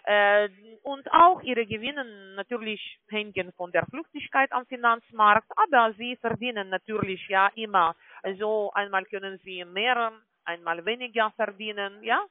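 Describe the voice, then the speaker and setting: A woman with a non-native German accent gives an explanatory phone sales pitch as a call-centre agent, often adding tag questions to confirm. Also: unhurried, few pauses, no room sound. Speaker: call-centre agent selling online trading. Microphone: telephone call. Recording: tinny, band-limited phone line.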